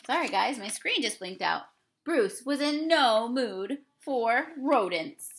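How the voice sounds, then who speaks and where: A woman's voice: on the high side, expressive. A young woman reading a children's storybook aloud expressively, speaking to young listeners like a teacher. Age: young adult. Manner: deliberate, addresses young listeners with questions. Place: small indoor room.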